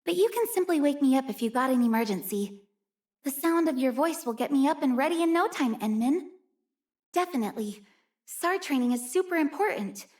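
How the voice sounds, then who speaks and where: A young female mountain rescuer from a video game. Her voice is high and girlish, and she comes across as gentle and sincere. She speaks politely, with real care for the people around her. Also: lively intonation, brisk. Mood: apologetic, sheepish. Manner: earnest, caring, polite. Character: earnest, gentle young female snow-rescue team member. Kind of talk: video game character voice lines.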